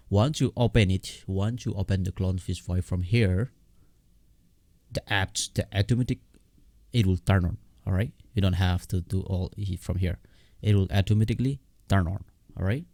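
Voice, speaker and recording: A man who is not a native English speaker gives a casual step-by-step software tutorial in a halting, repetitive way. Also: low voice, no echo, clean studio sound. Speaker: tutorial host.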